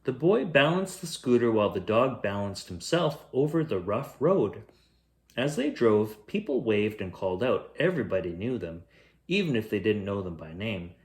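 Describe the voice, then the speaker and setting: Man reading a bedtime story, lively intonation. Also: on the low side, moderate pace. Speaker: bedtime story reader, host. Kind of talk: reading a children's picture book aloud.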